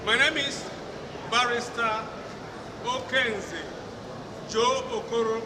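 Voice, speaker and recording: Nigerian man, audience questioner, deliberate and formal, unhurried delivery, distant roomy hall sound. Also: extremely high pitch, fairly even intonation. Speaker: audience member asking a question. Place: large conference hall. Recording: echoey hall, far from the mic, loud noise.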